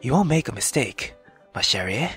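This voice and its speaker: A performer voicing a gentle, fatherly nobleman who speaks in a soft, reassuring way in a visual novel. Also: medium pitch, sing-song, moderate pace, faint music behind, pitched below the performer's own voice. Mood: tender, encouraging. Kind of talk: visual novel character read aloud.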